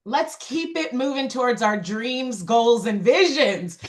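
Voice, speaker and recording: A cheerful woman agent chats on a group video call, laughing between her lines. Her voice sits a little high. She sounds relaxed and informal. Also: a little slow. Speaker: real estate agent, meeting participant. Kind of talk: casual chat on a video call.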